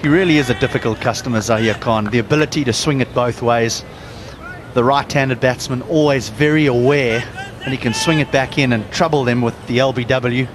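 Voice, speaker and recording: A man commenting live on a cricket broadcast, explaining the bowling in a brisk, analytical way. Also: mid-range voice. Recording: broadcast commentary over noisy ambience.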